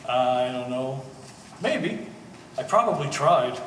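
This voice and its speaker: Easygoing middle-aged ex-hippie guy played by an actor, halting and friendly, stage play, echoey hall far from mic. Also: mid-range voice, animated, a bit bright. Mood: reflective. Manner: hesitant, halting, amiable. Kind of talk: live one-act stage acting. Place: art gallery stage reading. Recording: echoey hall, distant mic, faint noise.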